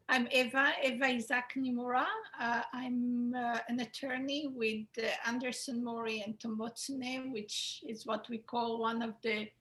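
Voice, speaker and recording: A woman's voice: on the high side, fairly bright tone, low-quality recording. A woman with an Israeli accent, an attorney moderating a webinar over a video call, talking with frequent hesitations. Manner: hesitant, many fillers. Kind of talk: webinar introduction.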